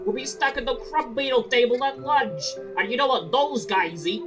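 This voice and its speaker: A woman's voice: a little high, normal speed, over music, close to an everyday voice. A woman playing a wisecracking young male dragonfly in a fan script reading. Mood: teasing. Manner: teasing, wisecracking.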